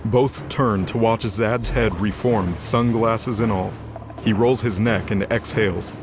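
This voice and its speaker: A man with a fairly low voice narrates a fantasy audio drama. He reads scripted action in a dramatic storytelling style, with music clearly underneath. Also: fairly even intonation, a little slow.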